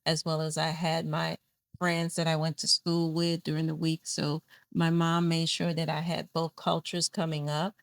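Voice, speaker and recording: A woman tells her story in an earnest, steady way. Her voice sits fairly low. The sound is close and dry. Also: normal speed, gravelly, no echo. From born in Honduras, raised in New Orleans. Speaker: podcast guest, AI consultant. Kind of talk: casual interview answers.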